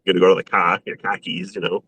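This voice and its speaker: A young man chatting casually as an interview guest, bashful and quick to laugh at himself. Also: low-pitched, flat delivery, bright, forceful tone, husky, rough recording. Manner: laughs often, bashful and self-deprecating.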